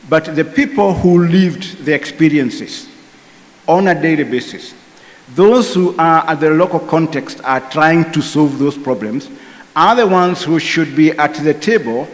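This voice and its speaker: A man speaking as a panel speaker at a conference, measured and halting with frequent pauses. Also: a little high, in a large hall. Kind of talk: conference speech. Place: conference hall.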